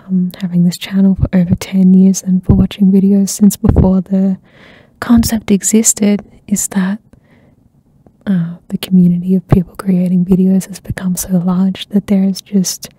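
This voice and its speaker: Soft-spoken woman, husky, half-whispering. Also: medium pitch, level tone, normal speed, no room sound, rough recording. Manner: soft-spoken, slips into whispers, many fillers. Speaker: ASMR channel host. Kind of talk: soft-spoken rambling catch-up chat. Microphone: close ASMR microphone.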